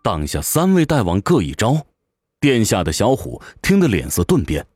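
A man's voice: fairly low pitch, wildly swooping pitch, normal speed, a little mellow, no echo, studio quality. A man narrating a fantasy audio drama from a script in a theatrical, suspenseful manner.

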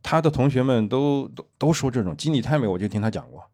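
A man in his thirties or forties, a former editor, explaining his views in a measured way on a casual podcast. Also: mid-range voice, lively intonation, darkish tone. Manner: measured, explanatory, lists examples with "对吧".

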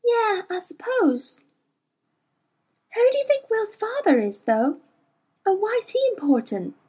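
A woman's voice: very high voice, very animated, measured pace, dark tone, pitched above the performer's own voice, heavily acted. A woman voicing a fiery, stubborn young girl. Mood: wondering. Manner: hot-tempered, defiant, rough-spoken. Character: spirited stubborn girl of about twelve. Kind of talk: audiobook character reading.

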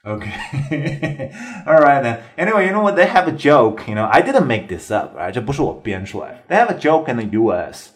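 A young man from China doing a bilingual stand-up comedy lesson as host, animated and leaping high in pitch on exclamations. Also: moderate pace. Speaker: English-teaching comic host.

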